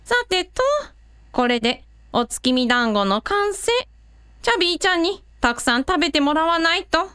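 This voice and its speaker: A woman's voice: sits high, animated, normal speed, sharp tone, no room sound. A woman playing a sensible, caring, shrewd mother in a radio drama. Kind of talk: Japanese radio drama acting.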